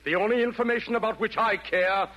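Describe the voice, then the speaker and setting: An actor in a 1940s radio verse comedy playing a vain, irritable emperor in hell, peevish and imperious. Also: male voice, high-pitched, fast, close to an everyday voice. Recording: muffled 1940s radio broadcast.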